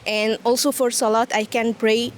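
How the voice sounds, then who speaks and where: A woman's voice: fairly high pitch, little pitch movement, moderate pace, slight background noise. A woman from Egypt, a doctoral student answering questions earnestly in non-fluent English. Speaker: PhD student. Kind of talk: casual interview answers.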